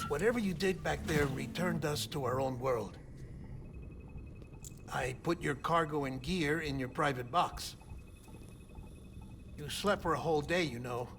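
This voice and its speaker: A man playing an earnest, gentle middle-aged doctor with a melancholy air in a video game, speaking in measured, weighty phrases. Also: fairly high pitch, noisy background. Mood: friendly. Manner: measured, deliberate delivery in short weighty phrases. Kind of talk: cinematic video game dialogue acting. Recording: music behind the voice.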